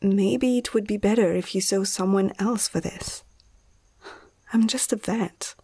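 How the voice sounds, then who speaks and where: A woman's voice: medium pitch, a little slow, mellow, husky, dry recording. A woman playing a nervous but caring young vet in an ASMR roleplay, hesitant and often half-whispering close to the mic. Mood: concerned, firm. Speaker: amateur ASMR roleplay performer.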